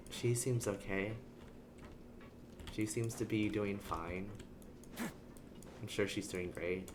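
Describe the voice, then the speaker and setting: A man's voice: fairly low pitch, noisy background. A man livestreaming a game, reading text aloud and adding casual, playfully sarcastic commentary. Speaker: game streamer.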